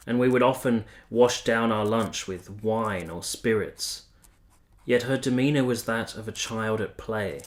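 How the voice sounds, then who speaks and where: A man playing a devoted army captain in a period radio play, speaking in a measured, articulate, reflective way. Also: on the low side, natural voice. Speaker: narrator and lead character. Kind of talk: radio play acting with reflective scripted narration.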